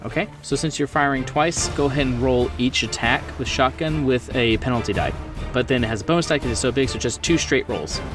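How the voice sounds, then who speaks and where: A man with a mid-pitched voice acts as game master at a horror tabletop game. He talks players through rules and scenes conversationally, a little away from the mic, with clear music playing behind him. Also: fairly quick, recorded from a distance. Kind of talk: narrating a tabletop game, off the cuff. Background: music bed.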